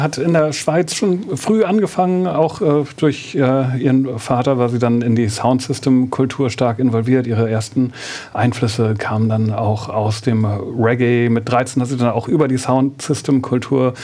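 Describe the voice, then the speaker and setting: A man's voice: fairly even intonation, moderate pace, warm tone. A man whose first language is German, hosting a radio interview and asking hesitant questions. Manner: hesitant questions, rising pitch. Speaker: radio host.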